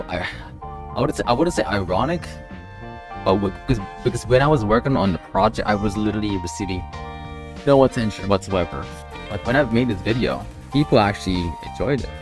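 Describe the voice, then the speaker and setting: Man, deep low pitch, casual developer live stream, halting delivery with repeated restarts, clear background music. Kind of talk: casual live stream talk about a coding project.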